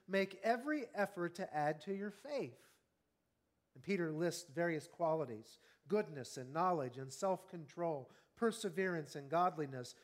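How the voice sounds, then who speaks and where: Earnest man in his fifties preaching. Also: high voice. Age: 50s. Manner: earnest, rhetorical questions, slows to stress key words. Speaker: pastor. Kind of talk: sermon.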